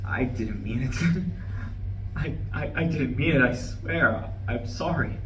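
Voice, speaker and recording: A man's voice: medium pitch, takes it slowly, fairly bright tone. An actor in a live play reading, playing an anguished, regretful man who stammers and repeats himself. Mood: remorseful, tearful. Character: anguished, panicking man full of regret over lost love. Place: room at a live campus reading. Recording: roomy, distant live recording, faint noise.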